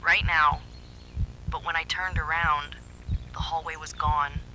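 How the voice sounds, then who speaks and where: A man's voice: fairly high pitch, level tone, normal speed, bright, forceful tone, poor audio. A man narrating a scripted first-person horror story in a dramatic, suspenseful manner. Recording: faint background hum and noise.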